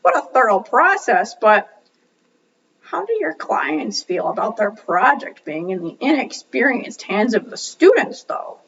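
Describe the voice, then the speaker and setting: Woman acting a puppet show friend, high voice, curious, excitable, exclamatory, distant and muffled sound. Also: a little slow, a little hiss or hum, full character voice. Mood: inquisitive.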